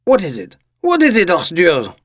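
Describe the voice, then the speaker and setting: A man's voice: high-pitched, huge pitch swings, moderate pace, fairly bright tone, dry recording, clean studio sound, exaggerated voice. An actor playing a pompous, amused male village mayor who questions people incredulously in an audio drama of a short story.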